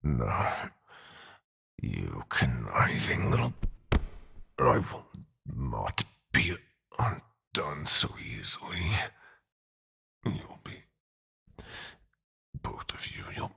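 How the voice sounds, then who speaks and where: Audio roleplay villain: possessive, sadistic nightmare god. Heavy gravel, unhurried, theatrically swooping menace. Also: male voice, very deep voice, huge pitch swings, bright, forceful tone, exaggerated voice. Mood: furious threats. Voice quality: gravelly, husky, breathy growl. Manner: slow, taunting, theatrical purring.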